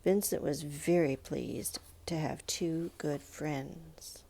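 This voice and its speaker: A woman reading a children's picture book aloud in a measured, expressive storytelling manner, with pauses. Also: sits low, vocal fry, slightly rough. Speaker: storybook reader.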